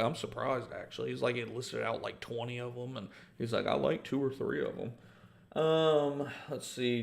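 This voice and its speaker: A young man, talking loosely on a livestream. His voice has a gravelly fry at the bottom, and he rambles through viewer comments with plenty of "um" and "you know". His pitch sits in an ordinary middle range. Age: 20s. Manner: rambling, reads out comments, many fillers and restarts. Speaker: host answering viewer questions. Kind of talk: casual livestream Q&A. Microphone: livestream microphone.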